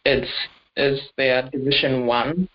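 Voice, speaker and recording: A podcast co-host chats casually and hesitantly, often stopping to correct themselves. The voice sits at a middling pitch. The recording is dull and lo-fi. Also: fairly even intonation, takes it slowly. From not Nigerian. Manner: chatty, hesitant, self-correcting. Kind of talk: casual sports chat. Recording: dull lo-fi audio.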